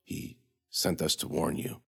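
A man voicing a gruff, ailing knight who speaks tersely in a low, grim way. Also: steady tone, measured pace, bright, forceful tone, heavily acted.

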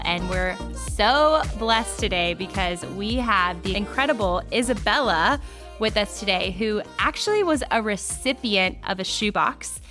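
A woman hosts a podcast in a bubbly, enthusiastic way, with a mid-range voice. Music plays clearly underneath her. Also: mid-range voice. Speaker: podcast host. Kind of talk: upbeat podcast host introduction.

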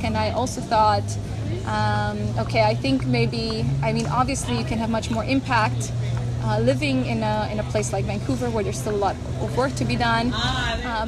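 A woman, giving thoughtful interview answers full of ums and uhs. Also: a little high. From Canada. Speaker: guest, urban mobility guide. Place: outdoors on a city street. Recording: street noise with passing vehicles.

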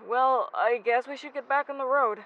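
An actor playing a gentle, anxious young man in an audio drama, speaking hesitantly. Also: extremely high pitch, steady tone, moderate pace, phone-quality sound, slight background noise. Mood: gentle, cautious. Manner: hesitant, stammering.

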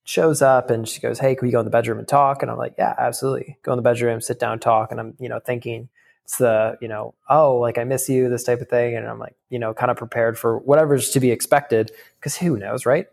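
A young man tells a personal story in an interview. He speaks in a casual, halting way, full of fillers and restarts. His voice has a gravelly fry at mid pitch. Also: fairly even intonation, darkish tone. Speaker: guest.

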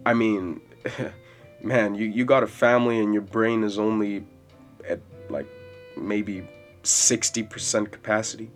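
A man in his twenties hosting a solo podcast, rambling casually and swearing freely in a loud voice with bass. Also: steady tone, a little slow. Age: 20s. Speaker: podcast host. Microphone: podcast mic. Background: soft jazz music.

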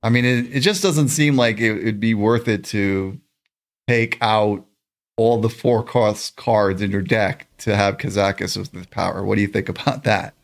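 A man with a raspy voice co-hosting a gaming show, chatting off the cuff in a laid-back, rambling way and repeating his words. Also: fairly low pitch, fairly even intonation, normal speed. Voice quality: raspy, gravelly. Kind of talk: casual unscripted chat about a card game.

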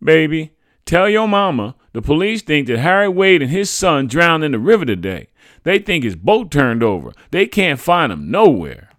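A man's voice: on the high side, big pitch swings, normal speed, fairly bright tone, no echo, studio quality, pitched above the performer's own voice. A man voicing a large, motherly neighbor woman with folksy, familiar speech, in a narrated story. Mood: troubled. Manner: familiar, nonstandard grammar.

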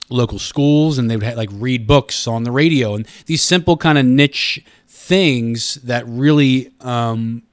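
Man, thirties, average pitch, dry close sound, casual interview guest, wordy explanatory talk. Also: no room sound. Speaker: guest, radio station communications director.

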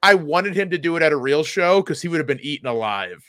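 A man telling anecdotes in a casual podcast chat as a comedian and host, halting and repeating words, with some swearing. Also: fairly high pitch, lively intonation, fairly bright tone, dry recording, studio quality.

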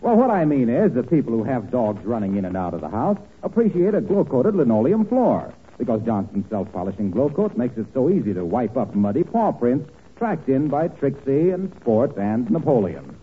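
Swooping, husky radio pitchman. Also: sing-song, moderate pace, hardly any pauses, phone-quality sound. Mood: eager selling. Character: friendly, eager salesman pal. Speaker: announcer delivering sponsor's pitch. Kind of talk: 1940s radio comedy and advertising pitch. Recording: thin vintage radio recording.

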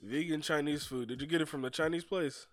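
A young man with a stuffy, sniffling nose hosts a casual podcast, talking in a laid-back, rambling way that keeps restarting and repeating itself. His voice sits a bit high for a man, and faint music plays behind him. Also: fairly quick, a bit bright. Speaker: podcast host. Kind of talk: casual chat.

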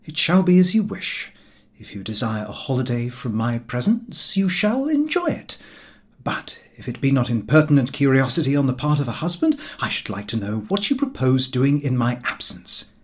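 A man's voice: medium pitch, sing-song, normal speed. A man voicing a pompous, self-pitying, affronted Edwardian husband in storybook dialogue, formal and theatrical. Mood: stiffly offended. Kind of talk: audiobook character dialogue.

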